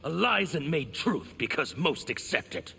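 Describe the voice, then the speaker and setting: An actor playing a fiery, fanatical anarchist villain in a video game, with a harsh gravelly voice and theatrical ranting. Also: male voice, a little high, sing-song, a little slow, exaggerated voice. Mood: defiant, scornful. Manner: ranting, forceful. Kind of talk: dramatic video game dialogue.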